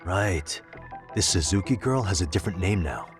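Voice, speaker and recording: A man playing a serious, steady, plain-spoken detective in an English game dub. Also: low-pitched, moderate pace. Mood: grave, thoughtful. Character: serious, steady male detective working a case. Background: background music.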